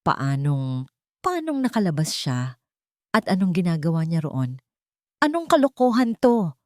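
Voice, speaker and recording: A woman's voice: on the low side, sing-song, measured pace, a little mellow, dry recording. Domineering husband for audio melodrama, voiced by a woman: agitated, suspicious, insistent, dry sound. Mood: alarmed anger.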